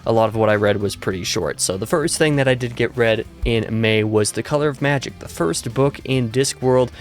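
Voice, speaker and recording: Hoarse male host, scratchy and weak, mid-pitched, rambling book vlog chat. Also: normal speed, soft background music. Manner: chatty and rambling, with many fillers and self-corrections. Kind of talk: casual book review vlog.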